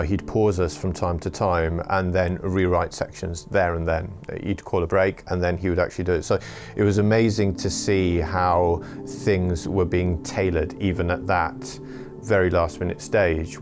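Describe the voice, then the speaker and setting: A middle-aged Welsh man giving an interview, talking in a measured, reflective way with careful wording. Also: sits low. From Wales. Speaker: interviewee, former orchestral violinist turned trader.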